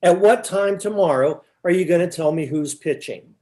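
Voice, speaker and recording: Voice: mid-range voice, normal speed, warm tone, lightly acted. A performer playing an exasperated, confused questioner in a staged comic baseball dialogue, pressing insistently for answers. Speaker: amateur readers theater performer.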